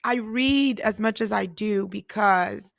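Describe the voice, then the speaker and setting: A woman hosting a casual interview, expressive and talkative, whose first language is not English. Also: medium pitch, slow pace, vocal fry, no room sound, clean studio sound. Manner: expressive, talkative, frequent laughter. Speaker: host.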